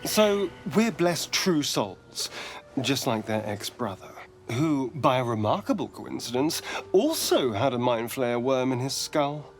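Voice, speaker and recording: A man's voice: medium pitch, big pitch swings, measured pace, faint music behind. A man playing a sardonic, vain elf rogue in a video game, with a wry, theatrical drawl. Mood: wry, mocking. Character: sardonic, vain male pale elf rogue with dry wit. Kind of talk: cinematic video game dialogue.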